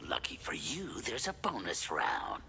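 An actor voicing a sadistic, darkly playful masked killer in a video game, gravelly and breathy, mocking as he savors his threats. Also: deep voice, wildly swooping pitch, measured pace, very noisy, heavily acted. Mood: gleefully taunting. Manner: mocking, menacing, savoring words. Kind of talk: video game villain dialogue.

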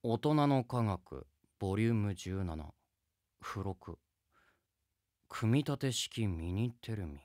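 A man's voice: fairly low pitch, animated, slow, long pauses, a little mellow. A man playing an earnest, exasperated teenage music student in a radio comedy drama, narrating and making emphatic retorts. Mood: baffled.

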